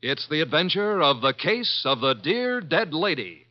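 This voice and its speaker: A man's voice: a little high, very animated, measured pace, soft background music. A man announcing radio programs in an emphatic, showman-like 1950s promotional style. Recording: muffled 1950s radio recording.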